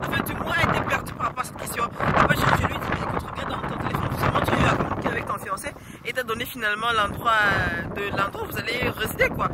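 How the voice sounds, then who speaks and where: A woman's voice: a little high, very fast. A woman with the flu vlogging outdoors by a lake, chatting casually and rambling with many fillers and self-corrections. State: has the flu. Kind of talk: casual vlog advice. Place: outdoors by a lake in freezing wind. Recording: loud wind noise outdoors.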